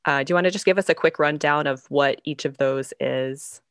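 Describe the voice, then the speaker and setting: A woman's voice: sits low, a little fast, no room sound, clean studio sound. A woman interviewing a guest in a casual, conversational way.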